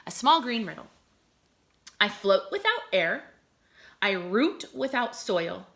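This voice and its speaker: A woman reads aloud in the manner of a teacher with young listeners. Her voice is bright and moves expressively up and down. She enunciates deliberately and explains things in simple terms. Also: mid-range voice, sing-song, gravelly. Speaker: teacher reading aloud to children.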